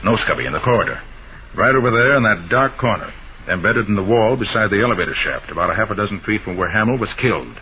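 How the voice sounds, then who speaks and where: An actor playing a shrewd, confident master detective with an assured, emphatic delivery in an old-time radio drama. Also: male voice, on the low side, expressive, quick pace, lightly acted. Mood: confident, explaining. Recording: noisy, muffled old radio broadcast.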